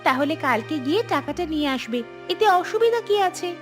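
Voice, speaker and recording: A woman voicing a caring young daughter in a cartoon, speaking in an earnest, gently firm way. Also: high voice, very animated, a little fast, soft background music. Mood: reassuring, firm. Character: caring young unmarried daughter, practical and reassuring. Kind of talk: cartoon dialogue.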